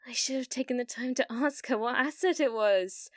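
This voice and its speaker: A woman's voice: high-pitched, no echo, clean studio sound, lightly acted. A British woman voicing a delusional, needy, obsessive young gamer in love, in an ASMR roleplay. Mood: sweetly unhinged. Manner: breathy giggles, slow dreamy delivery with sudden intense bursts. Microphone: close studio-style mic, dry.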